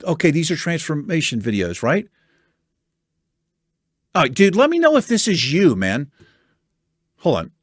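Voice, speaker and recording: Chatty, animated American man. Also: a little high, measured pace, pauses often, dry recording, studio quality. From United States. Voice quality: soothing voice. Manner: animated, chatty, swears casually, laughs often. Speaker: YouTube host reading viewer comments. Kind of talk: casual chat.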